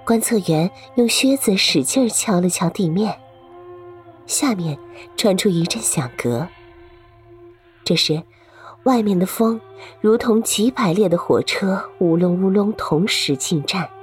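A woman's voice: mid-range voice, expressive, unhurried, soft background music. A woman reading scripted story narration for an audio drama.

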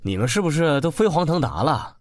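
A man's voice: on the high side, sing-song, brisk, slight background noise. An actor playing a reflective, tender man looking back on his life in a Mandarin radio drama. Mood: excited, bantering. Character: man wistfully narrating a lost past, warm husband and father. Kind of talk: Mandarin radio drama acting with inner monologue. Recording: music under the voice.

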